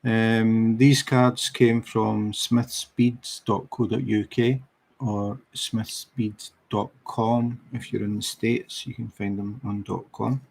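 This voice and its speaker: Scottish guy, middle-aged, low husky voice, chats slow and easy while crafting. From Scotland. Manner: relaxed, unhurried, chatty with viewers. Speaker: diamond painting livestream host. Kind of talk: casual livestream chat while crafting.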